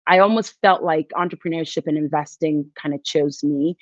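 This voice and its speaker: A woman from Nigeria talks in an earnest, reflective way as a guest on a podcast. Her voice is on the low side, recorded dry and a little dull, as if over a modest mic. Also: a little fast, dry recording. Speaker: physician and investor guest. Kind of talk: podcast interview.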